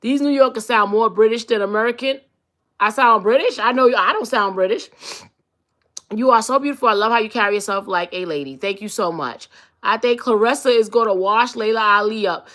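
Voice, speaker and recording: A brash woman with a New York accent hosts a live stream, sassy and profane as she answers her viewers. Her voice is bright and sits a little high. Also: a little fast. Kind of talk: casual live-stream chat reading comments.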